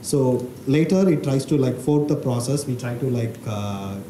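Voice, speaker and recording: A man's voice: mid-range voice, moderate pace. A man in his thirties presenting at a tech meetup with a handheld mic, talking hesitantly with frequent uhs and likes. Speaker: conference speaker. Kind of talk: technical meetup talk. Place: meeting room with audience. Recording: roomy hall with background noise.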